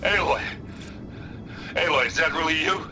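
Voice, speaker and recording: A man playing a loyal warrior friend in a video game, earnest, openly emotional and halting. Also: a little high, noisy background, close to an everyday voice. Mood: astonished relief. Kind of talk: cinematic video game acting. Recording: music behind the voice.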